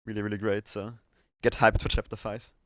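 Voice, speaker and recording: A man's voice: lowish voice, little pitch movement, a little fast, fairly bright tone, no echo, clean studio sound. A man hosting a casual livestream chat with effusive enthusiasm. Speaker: livestream host.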